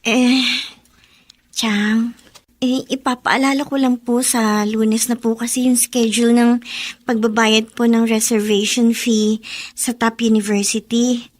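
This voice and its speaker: High-voiced, polite young Filipina student. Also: female voice, level tone, measured pace. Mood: polite, hopeful. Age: young adult. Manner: respectful, deferential. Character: polite, eager young student niece, deferential. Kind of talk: radio drama acting.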